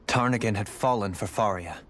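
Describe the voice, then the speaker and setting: Low-voiced, refined melancholy nobleman. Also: a bit bright. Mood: wistful. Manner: formal, eloquent, measured. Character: refined, melancholy young nobleman and former lord. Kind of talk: video game voice acting, English dub.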